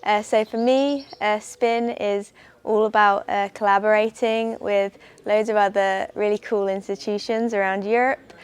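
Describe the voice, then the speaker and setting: A woman's voice: mid-range voice, steady tone, a little slow, clear, resonant tone. A woman in her twenties with an English accent, a research student giving a cheerful self-introduction with frequent fillers. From England. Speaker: PhD student. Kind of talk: short self-introduction to camera.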